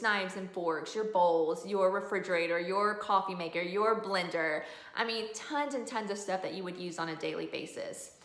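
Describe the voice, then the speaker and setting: A woman's voice: mid-range voice, a bit bright. A middle-aged woman giving a casual, explanatory video review as a homeschooling mom. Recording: roomy indoor echo.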